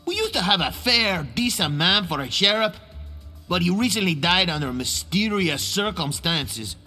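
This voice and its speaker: A man's voice: sits high, sing-song, normal speed, bright, forceful tone, faint music behind, exaggerated voice. A man playing a wretched, pleading prisoner in a cartoonish video game, whiny and theatrical. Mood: grumbling, conspiratorial. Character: pleading, foul-breathed, wretched male jailbird.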